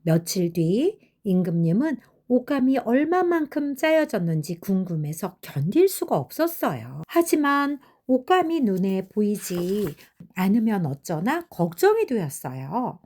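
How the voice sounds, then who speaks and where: Slow, lively older woman storyteller. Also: mid-range voice. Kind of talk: gentle children's story narration.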